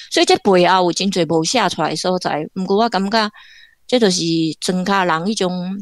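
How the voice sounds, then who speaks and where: A woman's voice: on the low side, no echo. A woman with a Taiwanese accent giving conversational literary commentary in an explanatory way, like a guest academic.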